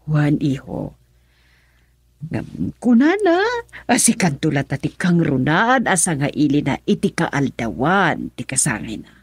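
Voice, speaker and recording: A meddling middle-aged mother in an Ilocano radio drama, with a lowish voice that swoops widely. She coaxes and exclaims theatrically in an Ilocano Filipino accent. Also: big pitch swings, a little slow, breathy edge, no room sound, clean studio sound, full character voice. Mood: coaxing.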